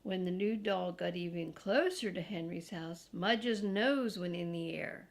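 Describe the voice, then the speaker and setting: An older woman reads a children's book in a grandmotherly way. Her pace is very unhurried and her voice has a noticeable creak. She speaks deliberately and adds chatty asides for little listeners. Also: on the low side. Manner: slow, deliberate, grandmotherly read-aloud for young children. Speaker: storybook reader. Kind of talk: reading a children's book aloud with casual asides.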